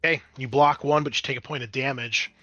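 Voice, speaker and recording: A man's voice: mid-range voice, fairly even intonation, quick pace, sharp tone, no room sound, dull audio. A man running a tabletop roleplaying game as game master, switching between conversational rules talk and dramatic scene description.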